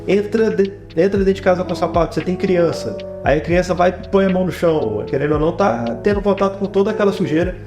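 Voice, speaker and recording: Higher-voiced Brazilian man in a roomy space. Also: fast talker, few pauses. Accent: Brazilian Portuguese. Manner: talkative, explanatory, frequently adds tag questions. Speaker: interview guest, photographer and production director. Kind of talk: conversational interview answers with personal anecdotes. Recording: roomy echo, guitar music behind. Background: guitar music.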